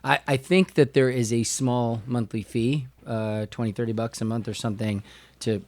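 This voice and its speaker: Guy being interviewed on a podcast, mid pitch, halting and informal, very dry sound. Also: fairly even intonation, a little mellow, no room sound. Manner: halting, informal, swears casually. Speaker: podcast guest, business owner. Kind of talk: casual conversational interview.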